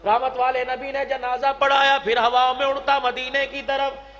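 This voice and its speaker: A man's voice: very high voice, completely flat delivery, sharp tone. A man preaching an impassioned Islamic sermon, declaiming and drawing phrases out into a chant. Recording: long hall reverb, music-like sound behind.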